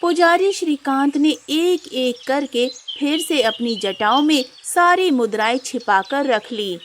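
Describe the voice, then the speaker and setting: A woman reading scripted storybook narration as the narrator of an animated folk tale. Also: sits high, unhurried, a little hiss or hum.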